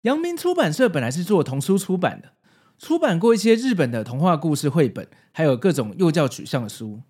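A man's voice: a little high, big pitch swings, brisk, a little mellow, husky. A man with a Taiwanese Mandarin accent hosting a scripted, nostalgic retrospective in an explanatory, conversational way. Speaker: host of a retro pop-culture video series.

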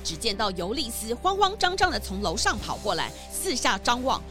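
A woman narrating a children's story with expressive, lively delivery, over clear background music. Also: on the high side, moderate pace.

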